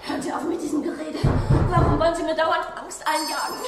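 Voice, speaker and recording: A woman's voice: high voice, little pitch movement, quick pace, over loud music, natural voice. An actress playing an anxious, earnest young female house employee in a radio drama, urgent and pleading. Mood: pleading, defiant. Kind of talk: German radio drama acting. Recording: roomy echo, distant, clearly noisy.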